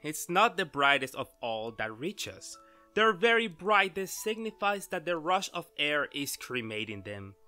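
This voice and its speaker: A man acting an excitable, lecturing amateur astronomer in a theatrical, grandiose 1930s radio drama style. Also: fairly high pitch, a little slow, faint music behind, heavily acted. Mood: confident explaining.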